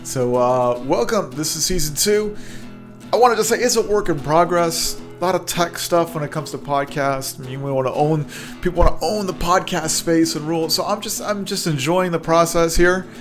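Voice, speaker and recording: Male podcast host, earnest motivational monologue, Hebrew speaker, slightly high voice, faint music behind. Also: normal speed. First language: bilingual, speaks Hebrew. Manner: earnest, repeats words and restarts often.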